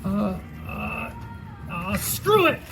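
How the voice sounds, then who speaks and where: A man acting a flustered, blustering fellow in a comic stage play, with halting delivery. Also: high-pitched, wildly swooping pitch, creaky, full character voice. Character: exasperated dream man, bumbling suitor and flustered history teacher. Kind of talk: theatrical one-act stage comedy acting. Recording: noisy live stage recording.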